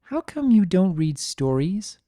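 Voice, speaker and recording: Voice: mid-range voice, big pitch swings, measured pace, warm tone, dry recording, studio quality, voice pushed up. A performer voicing a bouncy, earnest little pony girl with an eager, childlike delivery, in a dramatic fan fiction reading. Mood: eager, pleading. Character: Sunny, bouncy excitable little filly girl, earnest and playful.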